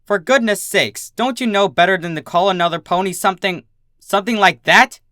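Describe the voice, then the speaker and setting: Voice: mid-range voice, brisk, pushed and bright, no echo, clean studio sound, pitched above the performer's own voice, close to an everyday voice. A storybook reader voicing a stern adult female pony schoolteacher who barks and hisses reprimands. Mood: scolding, indignant. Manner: barking, hissing reprimands. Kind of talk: storybook character voice in fan fiction reading.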